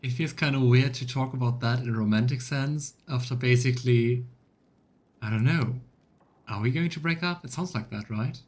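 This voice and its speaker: A man's voice: mid-range voice, moderate pace, a little mellow. A man giving casual, musing commentary while streaming a game, often trailing off mid-thought. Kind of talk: casual live let's play commentary.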